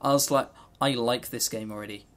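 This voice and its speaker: A man's voice: mid-range voice, steady tone, fairly quick, a bit bright. A man co-hosting a podcast in casual, unscripted chat, rambling and jokey, with some swearing. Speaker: podcast co-host. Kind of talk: casual unscripted visual novel review chat.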